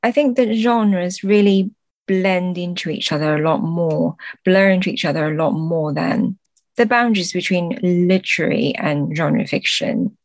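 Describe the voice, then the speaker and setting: A woman's voice: lowish voice, measured pace, no room sound. A middle-aged woman from China who grew up in the UK, giving thoughtful, explanatory answers as a translator in an interview. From born in Guangzhou, China, moved to the UK at a young age. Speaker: podcast guest, literary translator.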